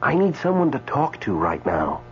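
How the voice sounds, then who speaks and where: An actor playing an indignant, newly dead man in a radio drama, speaking with hesitant stammers and dramatic pauses. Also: mid-range voice, wildly swooping pitch. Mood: pleading. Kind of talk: radio drama acting with first-person narration. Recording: dull radio broadcast, faint mains hum.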